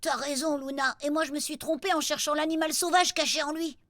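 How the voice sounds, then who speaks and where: A woman's voice: high-pitched, steady tone, a little fast, a bit bright, no room sound, clean studio sound. A woman voicing a bold, cheeky young cave-dwelling cartoon hero with a childlike, playful delivery. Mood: puzzled. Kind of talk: French children's cartoon voice acting.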